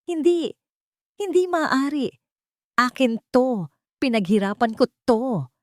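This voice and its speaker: A woman's voice: sits high, animated, slow pace, dry recording, pitched above the performer's own voice. A woman acts out a betrayed Filipino businessman in an audio love story. He is desperate, venomous and accusing, with a Filipino accent and a dry, close sound. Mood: desperate rage.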